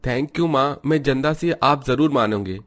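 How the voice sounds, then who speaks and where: A man's voice: medium pitch, unhurried, natural voice. A man voicing an earnest young Punjabi son, coaxing and reassuring, in a cartoon story. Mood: hopeful.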